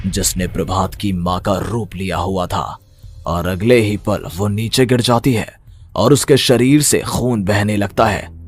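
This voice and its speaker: A man narrating a scripted horror story in a dramatic style. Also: low voice.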